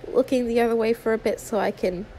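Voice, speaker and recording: A woman's voice: on the high side, steady tone, fast talker, dark tone, slight background noise, lightly acted. A woman voicing an anxious, sweet young female YouTuber in an audio roleplay, stammering, awkward and apologetic. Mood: awkward, kind. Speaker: ASMR roleplay performer. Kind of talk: one-sided ASMR audio roleplay.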